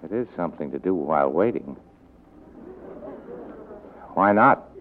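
Wisecracking private eye, unflappable, swooping intonation, slightly low voice, vintage 1940s radio sound. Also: male voice, lively intonation, measured pace, narrow, tinny audio, a little hiss or hum. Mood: cool, skeptical. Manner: terse, wisecracking. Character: hard-boiled, sardonic, unflappable male private detective. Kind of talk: 1940s radio drama acting.

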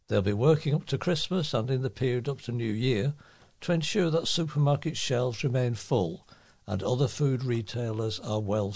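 A man's voice: mid-range voice, a little mellow. A man reading a local news report aloud as a volunteer newsreader.

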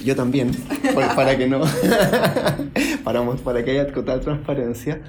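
A man leads the interview with lively, eager questions, doubling back on his words as he goes. His voice sits at a middling pitch over a faint hiss. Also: measured pace. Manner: eager, repeats words while asking questions. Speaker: podcast interviewer. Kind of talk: conversational interview.

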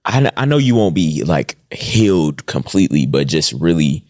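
A man's voice: extremely low pitch, sing-song, moderate pace, a little mellow, no room sound, clean studio sound. A young man co-hosting a casual podcast chat, joking and laid-back. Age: young adult. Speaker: co-host.

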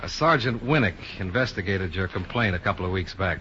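A man's voice: deep voice, animated, fairly quick, bright, forceful tone. A man playing a blunt, cool-headed insurance investigator in a 1950s radio detective drama, with dry, clipped delivery. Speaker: lead actor. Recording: dull, noisy 1950s radio recording.

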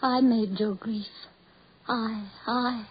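An actress playing a tender, devoted blind woman, earnest and melodramatic in 1940s radio drama style, with a husky voice. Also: on the high side, steady tone, takes it slowly, a little hiss or hum. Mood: gentle, sad. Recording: muffled old 1940s radio recording.

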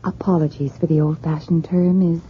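A woman's voice: low voice, steady tone, fairly quick, slight background noise, natural voice. A woman playing the devil as a cool, taunting dealmaker in a 1980s radio drama. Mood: mocking. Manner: smooth, taunting, businesslike. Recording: dull lo-fi radio recording with music.

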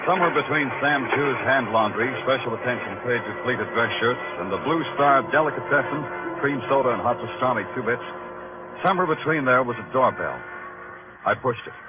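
Male actor as world-weary New York cop, American accent, clipped, wry, animated intonation, old-time radio crime drama, narrow muffled sound. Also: medium pitch, fairly quick, natural voice. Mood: brisk, atmospheric. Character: hard-boiled male New York police detective narrating his case. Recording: muffled vintage radio broadcast with music.

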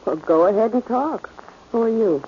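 A woman's voice: a little high, animated, normal speed, natural voice. Female role, vintage radio crime drama: actress playing brusque, hard-nosed gambler, muffled hissy recording. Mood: brusque, guarded. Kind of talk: 1940s radio acting.

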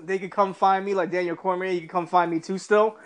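A man's voice: sits high, fairly even intonation, fairly quick, a loud crowd. A man co-hosting a casual pop-culture podcast, ranting in an exasperated, mocking, emphatic way with swearing. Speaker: podcast co-host.

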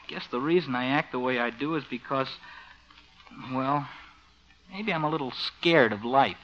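An American actor playing a lazy, sheepish young freeloading cousin, earnest and self-pitying, in 1950s radio comedy style. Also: male voice, medium pitch, moderate pace, fairly bright tone, natural voice. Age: young adult. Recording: dull old-time radio recording.

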